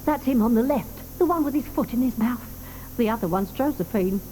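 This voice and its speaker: An actress playing a cantankerous, sharp-tongued old grandmother in a 1980s audio drama, scolding and hurling insults. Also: a little high, animated, fairly quick, slight background noise, lightly acted, low-quality recording. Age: older. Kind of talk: 1980s radio drama acting.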